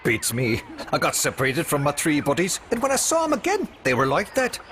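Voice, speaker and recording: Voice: medium pitch, huge pitch swings, brisk, fairly bright tone, slight background noise. An actor voicing a laid-back, easygoing angler in a video game, with a lazy, hesitant delivery. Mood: bored. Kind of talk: video game cutscene acting.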